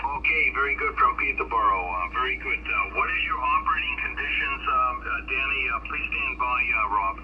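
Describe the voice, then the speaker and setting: A man heard over shortwave radio, chatting as a ham operator in a halting, repetitive way. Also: medium pitch. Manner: halting, repeats phrases. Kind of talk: radio contact chat, repeating signal reports. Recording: muffled, very noisy shortwave radio reception.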